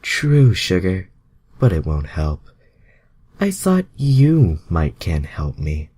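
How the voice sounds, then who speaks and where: Man acting flirty comic-book heroine, heartbroken, husky, swinging intonation. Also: sits low, huge pitch swings, slow pace, warm tone, heavily acted. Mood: flirty, pleading.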